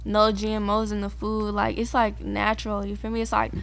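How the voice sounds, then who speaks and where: A woman's voice: mid-range voice, completely flat delivery, brisk, vocal fry. A young woman from San Diego, whose first language is Spanish, talking casually as a guest artist in a slangy, laid-back way full of fillers. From San Diego, raised partly in Guatemala. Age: young adult. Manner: laid-back slangy talk, many fillers like "you feel me". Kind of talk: casual interview chat.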